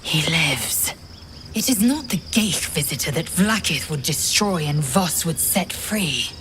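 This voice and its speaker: A woman's voice: deep voice, animated, measured pace, background noise. A woman playing a fervent, stern alien warrior in a video game, speaking in solemn, clipped declarations. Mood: solemn, intense. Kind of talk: dramatic game dialogue.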